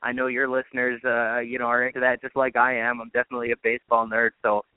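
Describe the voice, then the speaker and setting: A man's voice: medium pitch, completely flat delivery, quick pace, clear, resonant tone, no echo. A man, a radio sports announcer, giving conversational interview answers as a guest over a narrow phone line.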